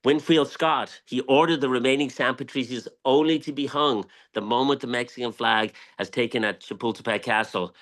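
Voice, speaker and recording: A man's voice: mid-range voice, fairly even intonation, normal speed, hardly any pauses, fairly bright tone, rough recording. An Irish man telling history as an interview guest, speaking emphatically and passionately, repeating phrases for weight. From Ireland. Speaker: guest, tequila brand owner.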